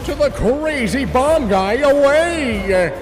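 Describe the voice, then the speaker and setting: A man's voice: very high-pitched, lively intonation, slow pace, over music, heavily acted. Man playing a bombastic, swooping superhero captain. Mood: heroic, commanding. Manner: commanding, exclamatory. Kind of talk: audio drama acting.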